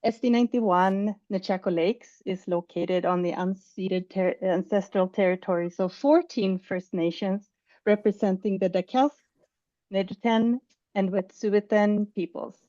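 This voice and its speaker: A woman from Sweden presents at an education conference, speaking in a calm, measured way. Her voice is mellow and dry, with no room echo. The call audio sounds a little dull and muffled. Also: mid-range voice.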